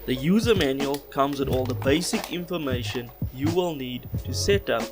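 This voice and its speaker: A man reading a scripted product unboxing voiceover in a measured, instructional way, recorded on a small external car-radio microphone. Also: mid-range voice, animated, music in the background. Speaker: host of a car audio channel. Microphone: small external car-radio microphone, cleaned-up voiceover.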